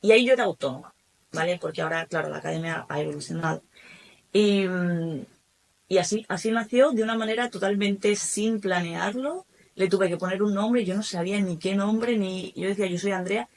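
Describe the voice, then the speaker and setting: A woman from Spain with a Spanish accent, a guest in a casual interview, telling her story in a lively way with many asides. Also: mid-range voice, fairly quick. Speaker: guest, German teacher and academy founder.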